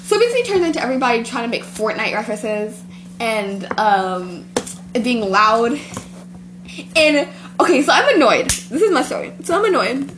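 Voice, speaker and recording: A woman's voice: fairly high pitch, sing-song, moderate pace, noisy background. A teenage girl hosting a homemade podcast, rambling through a school story with lots of giggling. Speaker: podcast host. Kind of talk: casual chat.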